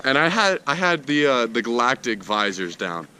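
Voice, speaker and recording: A man's voice: medium pitch, big pitch swings, moderate pace, pushed and bright. A young American man telling a story casually and slangily to his friends on a noisy amateur video.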